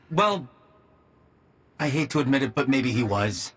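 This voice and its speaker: An actor playing a brooding, sarcastic man in a video game, with a husky voice and a wry, self-questioning manner. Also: mid-range voice, animated, a little slow, fairly bright tone. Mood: wry, wistful. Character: brooding, sarcastic man grudgingly missing his lost mentor. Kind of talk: dramatic video game cutscene acting.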